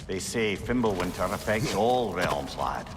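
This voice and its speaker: A wise, world-weary old-timer in a fantasy game. He is wordy and dryly avuncular, with lively rises and falls in pitch. Clear noise runs behind him. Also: unhurried. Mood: foreboding. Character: wry, world-weary old male companion, wise and chatty.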